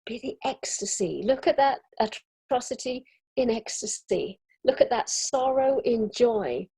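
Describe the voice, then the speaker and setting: A European woman in an earnest, expressive conversation about spirituality, often laughing as she talks, on a video call. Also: a little high, unhurried, breathy edge, no echo, poor audio. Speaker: summit guest.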